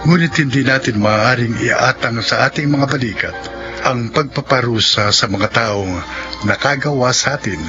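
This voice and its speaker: A formal Filipino man hosts a radio drama. His voice is mid-pitched and measured, reading in an announcer's style with music clearly playing underneath. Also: lo-fi sound.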